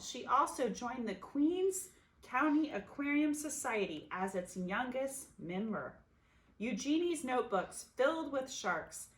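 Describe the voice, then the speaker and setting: A woman reading a children's picture book aloud expressively, with dramatic pauses and emphasis. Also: high-pitched, a bit bright, low-quality recording. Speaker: storybook reader.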